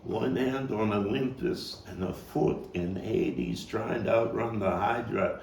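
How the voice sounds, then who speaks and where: An actor playing a weary, foul-mouthed, combative neighborhood philosopher in a halting, emphatic stage monologue, his voice gravelly. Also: on the low side, darkish tone. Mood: wistful, grand. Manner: rambling, profane, halting, emphatic street talk. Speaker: playwright and actor. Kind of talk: theatrical monologue at a table read. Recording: distant mic, roomy echo, faint noise.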